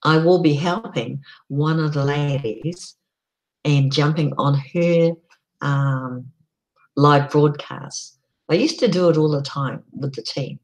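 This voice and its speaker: A middle-aged woman talks slowly and casually on her own live stream, her voice croaky. She is chatty and unhurried, often pausing on an um before carrying on. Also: deep voice, a little mellow, low-quality recording. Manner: slow, chatty, frequent ums.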